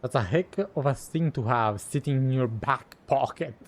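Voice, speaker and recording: A man's voice: medium pitch, normal speed, a little hiss or hum. A man giving casual, laid-back reactions as he plays a game, with game music behind him. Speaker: gaming streamer. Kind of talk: casual game playthrough reaction, reading along. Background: faint game music.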